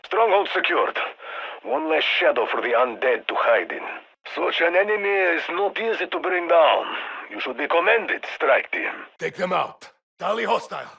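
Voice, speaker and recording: An actor playing a stern, battle-hardened Russian military commander in a video game, giving terse, formal mission orders in a Russian accent through a radio filter, with a gravelly, husky voice. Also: male voice, fairly high pitch, sing-song, normal speed, pushed and bright, heavily acted. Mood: terse, low-key. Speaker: mission handler.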